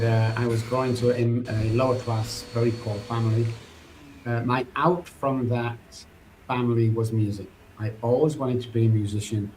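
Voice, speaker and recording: A man's voice: mid-range voice, mellow, low-quality recording. A middle-aged man from England with a Manchester accent, a podcast guest telling his own story in an earnest, loose, rambling way. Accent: Mancunian English. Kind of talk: candid personal recovery story. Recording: faint background noise.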